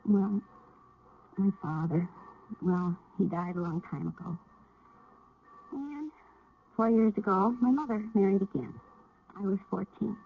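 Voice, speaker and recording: Guarded young female runaway for radio drama: dry, hesitant, husky, muffled phone-like broadcast. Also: medium pitch, a little slow, pauses often. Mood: confiding, downcast. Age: young adult. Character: wary, guarded eighteen-year-old runaway girl.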